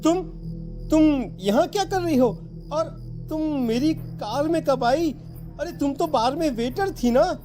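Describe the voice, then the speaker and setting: A woman's voice: sits high, lively intonation, slow pace, over music. A woman voicing a startled, pleading young man in a cartoon horror story. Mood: startled, puzzled. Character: frightened young man out partying, cornered by a ghost.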